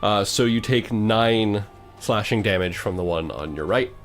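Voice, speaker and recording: A man's voice: lowish voice, a little slow. A man acting as game master, narrating combat in a tabletop game in a matter-of-fact, slightly hesitant way. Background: music.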